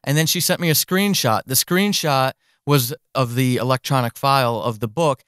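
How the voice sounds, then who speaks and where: A man's voice: medium pitch, normal speed, fairly bright tone, clear, resonant tone, dry recording, studio quality. A man hosting a casual podcast interview, speaking directly to listeners in an encouraging way. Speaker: podcast host and fiction author.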